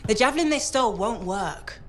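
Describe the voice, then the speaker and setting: A woman's voice: on the high side, lively intonation, a little fast, fairly bright tone, a little hiss or hum. An actress playing an earnest, analytical female technical specialist in a video game, speaking in a thoughtful, careful way. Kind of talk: video game cutscene acting. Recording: faint background music.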